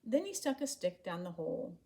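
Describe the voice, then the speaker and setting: A woman reading a children's story aloud in an expressive, animated way, acting out the dialogue. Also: lowish voice, a little fast. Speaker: storybook reader.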